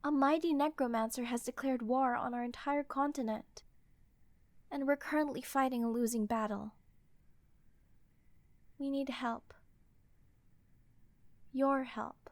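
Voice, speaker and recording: A woman's voice: sits high, steady tone, measured pace, long pauses, no echo. A woman playing an earnest, easily scandalized queen with a formal, ceremonious manner in a fantasy audio roleplay. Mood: reverent, pleading. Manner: ceremonious, proper, reverent.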